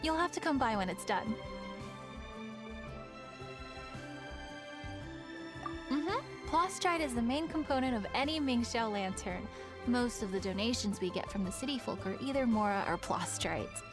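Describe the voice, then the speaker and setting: A woman's voice: on the high side, lively intonation, moderate pace, close to an everyday voice. A woman playing a diligent, friendly young festival organizer in a video game, polite and articulate. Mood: pleased, polite. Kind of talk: video game dub acting. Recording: music behind the voice.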